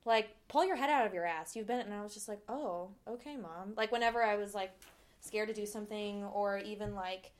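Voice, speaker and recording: Woman host, medium pitch, chatty and blunt, swears a bit. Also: brisk. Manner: chatty, candid, casual swearing. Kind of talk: casual interview chat.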